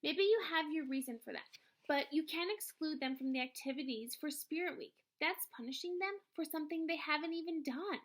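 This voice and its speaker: A woman voicing a weary male schoolteacher in a storybook, sharp and stubborn as he defends his students. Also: sits high, brisk, voice pushed up. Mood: indignant. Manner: sharp, insistent.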